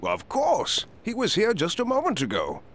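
A man playing a genteel, calm and obliging older professor in an animated video game. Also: sits high, normal speed, background noise. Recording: music behind the voice.

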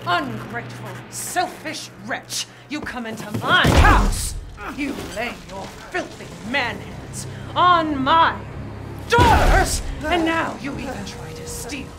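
A woman's voice: high-pitched, big pitch swings, slow, over loud music, full character voice. An actress playing a haughty, vengeful aristocratic vampire lady as a menacing, theatrical video game villain. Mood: furious. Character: imperious vengeful aristocratic vampire lady, tall, middle-aged.

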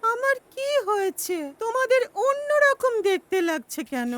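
Very high-pitched cartoon grandma. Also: female voice, sing-song, measured pace, heavy background noise, heavily acted. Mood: puzzled, steady. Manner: affectionate, gently instructing. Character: kindly patient grandmother baking with her grandchildren. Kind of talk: children's cartoon dialogue.

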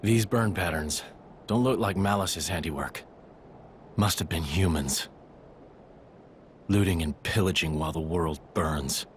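A man's voice: deep voice, unhurried, long pauses, a little hiss or hum. A man playing a terse, cool, watchful swordsman in a video game cutscene. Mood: grim.

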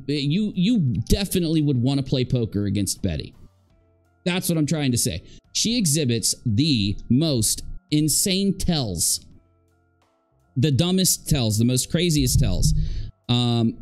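Male livestream host, thirties, mid-pitched, sarcastic and emphatic, faint music underneath. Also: darkish tone. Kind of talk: casual live reaction commentary. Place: indoor room at home.

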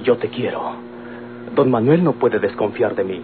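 Man doing a defiant mexican son in love, earnest lowish voice, noisy phone-like old radio drama. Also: expressive, moderate pace, lightly acted. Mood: earnest, resolute. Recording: old tinny radio recording, noisy hum.

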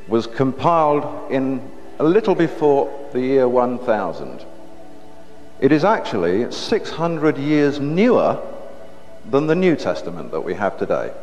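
A middle-aged man giving a public lecture on a lapel mic, talking in a measured way with frequent restarts and repeated words. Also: mid-range voice, sing-song, darkish tone, faint music behind. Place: stage with curtain backdrop, indoors. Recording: dull lo-fi hall recording with faint hiss.

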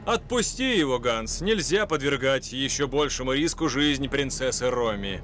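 A man voicing a composed, courteous gentleman lion leading a cartoon expedition, speaking in a formal, commanding way. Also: mid-range voice, lively intonation, moderate pace, a little hiss or hum, close to an everyday voice. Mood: grave. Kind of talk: dubbed cartoon acting.

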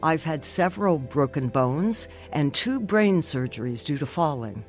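A middle-aged wife in a radio drama, earnest and emotional. She speaks slowly, with music underneath. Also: deep voice, animated, darkish tone. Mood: earnest, reflective. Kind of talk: radio drama narration and dialogue.

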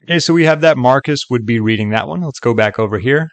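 A man talks through a software tutorial in a casual, chatty way. His voice sits at a middling pitch, and the sound is close and dry. He keeps an upbeat tone as he explains each step. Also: quick pace, dry recording. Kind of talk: casual screen-recording walkthrough.

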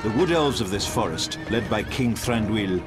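A man's voice: lowish voice, animated, moderate pace. A man narrating a fantasy game cutscene in a solemn, portentous storytelling style. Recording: loud orchestral music over the voice. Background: loud music.

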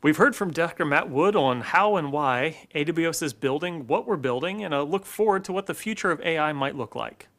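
A man in his forties giving a scripted keynote presentation in a measured, deliberate way, recorded in a studio on a lapel mic. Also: a little high, expressive, a bit bright.

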